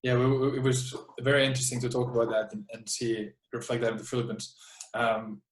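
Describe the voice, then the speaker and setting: A man with a Spanish accent hosts a podcast and asks his questions politely, stumbling over and restarting his words. His voice sits at a middle pitch and carries a roomy echo. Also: flat delivery, brisk. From Spain. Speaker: podcast host.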